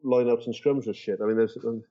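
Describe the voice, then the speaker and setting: A man's voice: medium pitch, fairly even intonation, quick pace, highs cut off. A man chatting casually about sport as a podcast guest, in an informal, blokey and opinionated way. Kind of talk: casual rugby chat.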